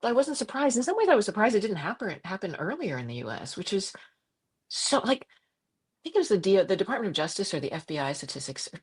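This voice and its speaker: A middle-aged woman from Croatia, a journalist guest talking passionately in an interview, with frequent restarts and quick laughs. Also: slightly rough. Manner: animated, impassioned, jumps to high pitch for quoted voices. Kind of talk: conversational interview.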